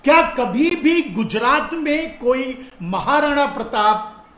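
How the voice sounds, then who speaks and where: A man's voice: very high voice, animated, takes it slowly, fairly bright tone. A man giving opinionated political commentary to viewers, emphatic, repeating his phrases and asking rhetorical questions. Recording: echoey room.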